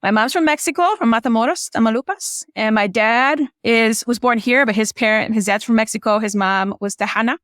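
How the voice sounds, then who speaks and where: A woman's voice: a little high, fairly quick, hardly any pauses, a bit bright, no echo, clean studio sound. A woman from Illinois whose first language is Spanish, a filmmaker guest talking casually and packing her speech with "you know" and "like". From Illinois, USA. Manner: chatty, frequent "you know" and "like" fillers. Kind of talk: casual conversational storytelling.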